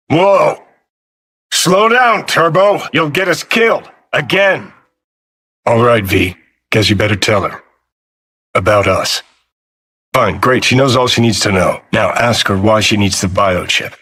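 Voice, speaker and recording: A man voicing a cynical, abrasive rebel in a video game, with a gritty rasp and a sardonic, commanding way of talking. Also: mid-range voice, sharp tone, exaggerated voice. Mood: urgent, agitated, then sardonic, wary. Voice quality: raspy, gritty.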